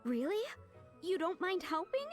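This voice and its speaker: Game character: earnest young woman chef, high voice, courteous and expressive. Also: a little slow, soft background music, full character voice. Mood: relieved, grateful. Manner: polite, expressive, theatrical. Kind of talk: video game voice acting.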